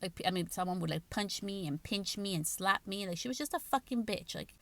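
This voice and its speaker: A woman's voice: mid-range voice, expressive, brisk, creaky, no echo. A woman in her late thirties with a Guatemalan Spanish accent, hosting a podcast and talking candidly in casual, swear-heavy chat. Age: 30s. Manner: candid, frequent swearing and filler likes. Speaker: podcast host. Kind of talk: casual personal talk.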